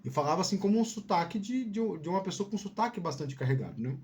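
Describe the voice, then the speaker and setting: A man's voice: high-pitched, lively intonation, fast talker, darkish tone, a little hiss or hum. A man giving casual career advice as a video host, informal and digressive, often repeating words and checking in with the listener. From Piauí, Brazil.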